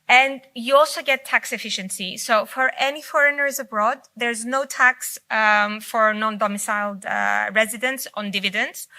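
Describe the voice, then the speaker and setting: Woman with Greek as her first language, keynote speaker, bright, fairly high voice, unscripted and hesitant. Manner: speaks off the cuff with frequent fillers and restarts. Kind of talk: conference presentation. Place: conference hall.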